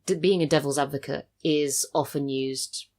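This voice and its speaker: A woman's voice: deep voice, fairly even intonation, moderate pace, darkish tone. A British woman talking casually as a podcast guest, sharing opinions and personal anecdotes. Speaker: podcast guest, audio drama writer and voice actor.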